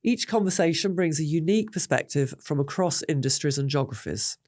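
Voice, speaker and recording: A woman hosts a business interview, moving briskly from one question to the next. Her voice sounds dry and close, with no room echo. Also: deep voice, expressive, darkish tone. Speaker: host.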